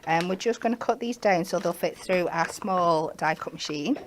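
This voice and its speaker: A woman with a croaky voice, chatting and joking as she demonstrates card making on a craft shopping show. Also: fairly low pitch, normal speed, a little hiss or hum. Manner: chatty, playful asides while working. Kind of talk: live shopping channel craft demo.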